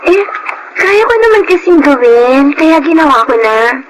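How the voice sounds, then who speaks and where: A woman's voice: high voice, very animated, a little slow. A woman playing a timid, eager-to-please adopted girl in a Filipino radio drama, polite and hesitant. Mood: shy, helpful. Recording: clear background noise under voice.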